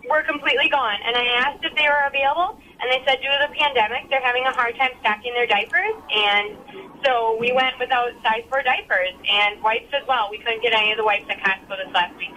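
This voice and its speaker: A young woman calling in to a radio show, chatty and quick to laugh. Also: a little high, fairly even intonation, sharp tone, a little hiss or hum, poor audio. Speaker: caller. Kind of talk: phone-in chat.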